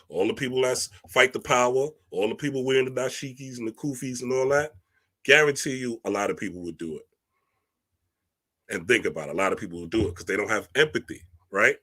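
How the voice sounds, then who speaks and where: A man's voice: fairly low pitch, sharp tone. A man giving a casual spiritual monologue, emphatic and preachy, using streetwise slang and checking in with "right?" often. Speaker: spiritual reader and speaker.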